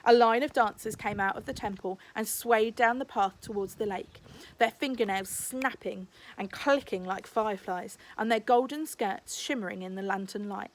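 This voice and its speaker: A woman's voice: medium pitch, normal speed, poor audio. A woman narrating a children's storybook aloud, like a teacher reading to a class.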